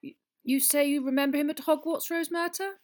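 A woman voicing a stern female witch schoolteacher with a sharp, clipped, exacting manner in a storybook. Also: high voice, fairly even intonation, a little fast, pitched above the performer's own voice, natural voice. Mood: brisk.

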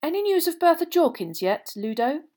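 A woman's voice: high-pitched, very animated, normal speed, mellow, pitched above the performer's own voice. A woman voicing a mild wizard father, a polite and hesitant man, in an audiobook. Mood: tentative, polite.

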